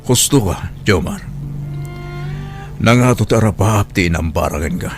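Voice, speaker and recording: A man playing a calm, advising uncle in a radio drama, with a Filipino accent. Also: low voice, measured pace, music in the background. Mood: calm, consoling. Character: steady, counseling adult Filipino uncle. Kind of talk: Ilocano radio drama acting.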